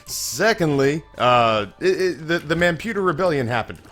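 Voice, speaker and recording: Slightly hoarse man rambling over gameplay. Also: a little high, lively intonation, normal speed, a bit bright. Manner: rambling, self-mocking storytelling with frequent restarts. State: a little hoarse. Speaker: gaming channel host. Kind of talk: casual let's-play commentary.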